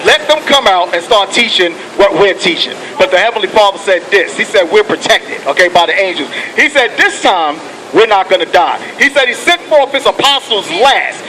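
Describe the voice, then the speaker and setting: A man's voice: high-pitched, expressive, normal speed, rough recording. A man preaching outdoors on a city street, fiery and profane, pushing each point with "okay" and "come on". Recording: loud street noise outdoors.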